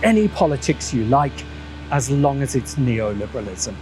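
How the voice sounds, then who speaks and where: A man's voice: mid-range voice, big pitch swings. Emphatic male video essay narrator over music. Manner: emphatic, rhetorical, with dramatic pauses. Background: background music.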